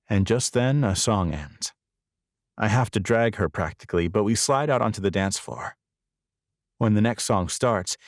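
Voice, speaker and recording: A man's voice: on the low side, no echo, clean studio sound. A man narrating a first-person romance novel as an audiobook.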